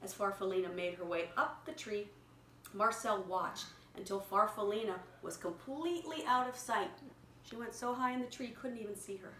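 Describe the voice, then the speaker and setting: A woman reading a picture story aloud to a young child, pausing to explain words simply and prompt repetition. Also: mid-range voice, a bit bright. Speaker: children's story reader and teacher. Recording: echoey room with clear background noise.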